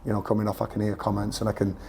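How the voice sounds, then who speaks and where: Brief: man, football manager post-match, gravelly and croaky, low mellow voice, flat delivery. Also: racing, a little hiss or hum. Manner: earnest, repeats himself while searching for words. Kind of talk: post-match press interview.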